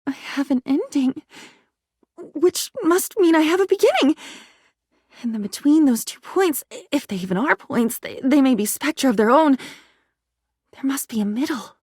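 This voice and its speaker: A theatrical living diary, high in pitch and wildly animated. She is an aristocratic, mercurial game character who veers between dignified and excitable delivery. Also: normal speed, no room sound, studio quality. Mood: awed wonder. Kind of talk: video game character acting.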